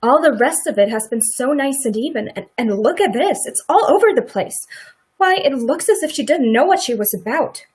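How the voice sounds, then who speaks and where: A woman in a radio play acting a middle-aged farm wife who is sympathetic, quietly indignant and plain-spoken in a folksy rural way. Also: sits high, animated, normal speed, slightly rough. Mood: curious, fidgety.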